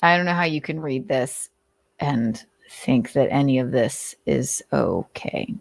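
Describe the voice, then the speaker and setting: A woman's voice: rumbling low, measured pace, creaky, dry recording. A woman host giving commentary while reading aloud, sardonic and exasperated, with casual swearing. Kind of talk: commentary mixed with dramatic reading.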